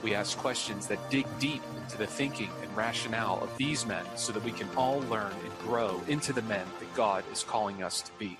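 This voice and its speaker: A man with a slightly low voice reads a scripted podcast promo over clearly audible music. He sounds like a welcoming host, fluent and orderly in his delivery. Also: brisk, hardly any pauses. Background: music bed.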